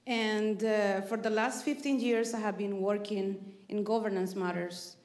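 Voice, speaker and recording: A woman from Honduras with a Spanish accent, speaking English as a panelist on stage, halting and searching for words. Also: mid-range voice, fairly even intonation, darkish tone. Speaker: panelist, peacebuilder. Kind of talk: panel answer. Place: large theater stage. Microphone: handheld mic. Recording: roomy theater sound.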